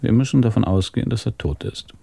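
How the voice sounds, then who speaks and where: A man's voice: fairly low pitch, fast, creaky, mellow, dry recording. An actor playing a seasoned, sardonic male police inspector in a German radio crime drama. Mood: brisk, conclusive. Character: seasoned, dry-witted male New York police inspector.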